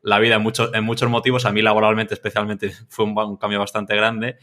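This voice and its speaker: A man's voice: fairly low pitch, monotone, a little fast, fairly bright tone. A man from Mallorca with a Spanish accent, answering casually as a podcast guest, rambling with repeated words and tag questions. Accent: Spain. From Mallorca, Spain. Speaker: podcast guest, freelance content creator. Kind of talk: casual conversational interview answers.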